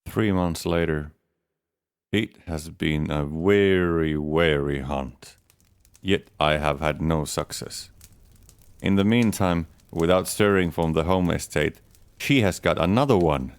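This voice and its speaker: A man giving a measured, deadpan first-person reading of a comic diary, speaking as its writer. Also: rumbling low, clear, resonant tone, no room sound. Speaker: audiobook reader. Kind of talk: dramatic reading of a diary as Adam.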